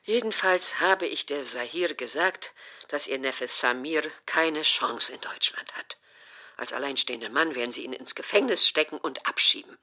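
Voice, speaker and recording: A woman's voice: rumbling low, moderate pace, bright, forceful tone, heavily acted. An actress playing a stubborn, easily offended older mother who complains dramatically in an audio drama. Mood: aggrieved. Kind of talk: acted German audio drama dialogue.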